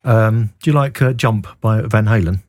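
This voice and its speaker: A low-voiced man chats easily as a radio host, his voice husky and a little worn. The sound is dry and close, with no room around it. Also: normal speed, a little mellow, dry recording. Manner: easygoing, chatty, laughs along. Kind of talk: casual radio interview.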